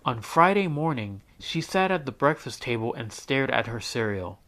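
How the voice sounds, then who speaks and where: A man reading a children's picture book aloud as a teacher, in an expressive storytelling style. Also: medium pitch, moderate pace.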